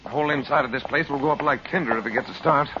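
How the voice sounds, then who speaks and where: An actor in a 1940s radio serial playing a resolute uncle leading a rescue, urgent and commanding. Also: male voice, medium pitch, racing, close to an everyday voice. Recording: noisy, muffled old radio recording.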